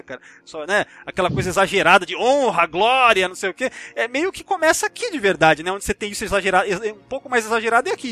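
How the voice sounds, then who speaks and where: A man's voice: high-pitched, pushed and bright, dry recording. A Brazilian man hosting a podcast, talking film with friends in a chatty, slangy, rambling way and swearing freely. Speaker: podcast host. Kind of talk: casual film discussion and anecdotes among friends.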